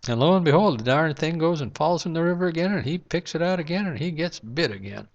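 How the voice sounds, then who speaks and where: A man's voice: a little high, lively intonation, quick pace, dull audio. A man in a casual, reflective monologue to camera at home at night, rambling with lots of fillers. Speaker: vlogger. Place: home room at night, lamp-lit.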